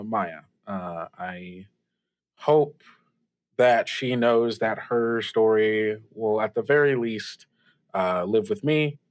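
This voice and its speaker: A man playing a sincere, sentimental radio show host who talks to faithful listeners in an earnest, hesitant way. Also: lowish voice.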